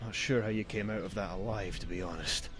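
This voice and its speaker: An actor playing a wry, cynical traveling merchant in a video game, with a theatrical, sardonic manner and old-fashioned phrasing. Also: male voice, lowish voice, a little fast, a bit bright, close to an everyday voice. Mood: wry warning. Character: wry, cynical male traveling merchant, grim about bandits. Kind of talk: voiced video game dialogue.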